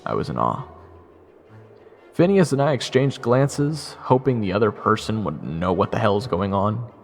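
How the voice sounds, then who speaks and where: A man's voice: on the low side, sing-song, a little slow, creaky, faint music behind, heavily acted. A man playing a wry, sarcastic adventurer who narrates his own fantasy tale in a conversational way. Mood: wry, amazed. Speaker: narrator and protagonist. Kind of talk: first-person dramatic narration.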